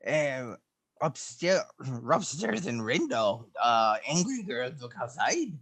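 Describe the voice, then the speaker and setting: Goofy guy reading comics aloud, slurring r's everywhere, gravelly, bouncy pitch. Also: very animated, takes it slowly, crowd noise. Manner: goofy, slurred, swaps first sounds for r. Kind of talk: comedic dramatic reading.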